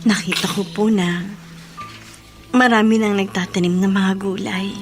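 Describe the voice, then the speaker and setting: An actress playing a respectful, earnest younger niece in a Filipino radio drama, polite and deferential. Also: female voice, mid-range voice, steady tone, unhurried, soft background music, lightly acted.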